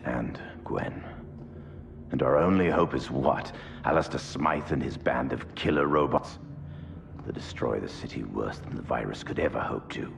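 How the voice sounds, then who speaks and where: An actor playing a grave, wary scientist in a video game, gravelly and articulate, with an ominous, deliberate delivery. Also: male voice, rumbling low, background noise. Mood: grim, bitter. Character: grave male scientist, wary asylum-held former monster. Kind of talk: scripted video game acting. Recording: music behind, at times echoey and distant.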